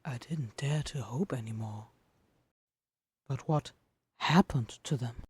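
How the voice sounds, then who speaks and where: A woman performs a lonely male supernatural being from a fan-fiction audio drama. The voice is very husky and breathy, slow and halting, haunted yet protective. It sounds close and dry. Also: extremely low pitch, darkish tone, no room sound, pitched below the performer's own voice, full character voice. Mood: stunned disbelief.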